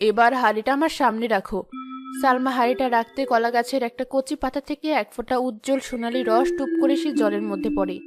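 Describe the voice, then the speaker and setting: A woman's voice: a little high, fairly even intonation, quick pace, few pauses, soft background music. A woman giving scripted narration of a fairy tale for a cartoon.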